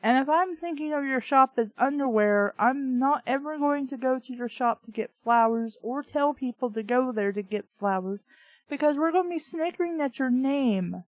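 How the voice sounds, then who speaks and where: High-voiced woman, sarcastic and sweary. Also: moderate pace, no echo, dull audio. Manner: sarcastic, profane, irritated. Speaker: host of a bad-book reading show. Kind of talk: reading fiction aloud with mocking commentary.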